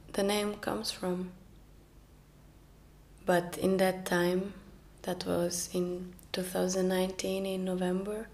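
Slow, soft-spoken woman. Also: fairly low pitch, vocal fry. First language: Norwegian. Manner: soft and reflective, with small restarts. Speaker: podcast host. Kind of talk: personal storytelling.

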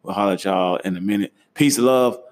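A laid-back young man hosts a casual travel conversation. His voice sits a little low, and he talks in easy slang with frequent laughter. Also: a little fast. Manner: relaxed, slangy, laughs easily. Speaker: host.

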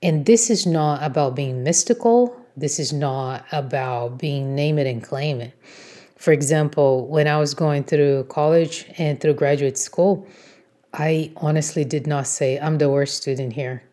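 Low-pitched woman, native Portuguese speaker, motivational talk. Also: a little slow, dark tone. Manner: encouraging, emphatic, instructive. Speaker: podcast host, motivational coach.

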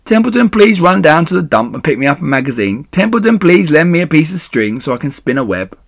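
Man acting as grumpy male rat, gravelly, swinging pitch, mocking, complaining, self-pitying, children's storybook. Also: big pitch swings, moderate pace, a bit bright, no room sound, clean studio sound, full character voice. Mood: sarcastic, aggrieved.

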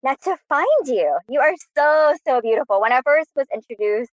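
Upbeat woman host, kinda high voice, muffled dry audio. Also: animated, normal speed, no echo. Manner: warm, complimentary, enthusiastic. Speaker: podcast host. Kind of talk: casual podcast interview.

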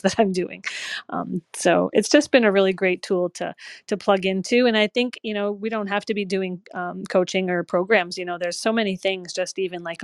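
A woman's voice: medium pitch, no room sound, studio quality. A woman joining a talk by remote call, speaking in a chatty, upbeat way with many fillers. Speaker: guest caller. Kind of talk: casual chat.

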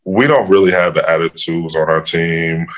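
A man with a very low, deep voice talks through his coaching in a relaxed, rambling way. He keeps dropping "you know" between his thoughts as he answers interview questions. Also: moderate pace. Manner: easygoing, fills with "you know". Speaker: first-year girls' basketball coach.

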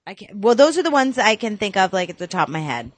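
A woman's voice: mid-range voice, very animated, fast, no echo. A woman co-hosting a casual podcast chat, swearing freely, reading things aloud and laughing with her co-host. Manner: laughing, profane, bantering.

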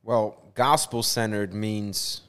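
A man's voice: fairly low pitch, steady tone, takes it slowly, a bit bright, smooth, long reverb tail. A man from Switzerland in his thirties, a non-native English speaker, telling his story conversationally as a pastor on a podcast. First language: not English. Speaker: community engagement pastor, podcast guest.

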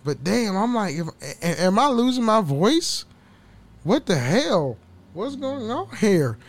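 A man with a somewhat high-pitched voice chats alone into a home mic, loose and rambling. He curses freely and laughs often, and his voice carries a slight hoarseness. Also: sing-song, slow pace. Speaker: podcast host. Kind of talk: casual solo podcast chat.

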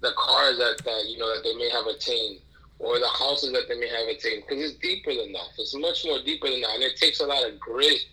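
A man's voice: medium pitch, a little fast, sharp tone, poor audio. A man speaking casually as a podcast guest, earnest and emphatic, often repeating phrases and posing rhetorical questions. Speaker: podcast guest, streetwear entrepreneur. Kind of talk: casual interview conversation.

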